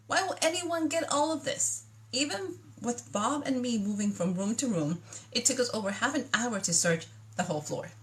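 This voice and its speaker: Chatty woman with a Dominican accent. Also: medium pitch. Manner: chatty, animated dialogue voices. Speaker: author reading her own book. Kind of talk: book reading with asides.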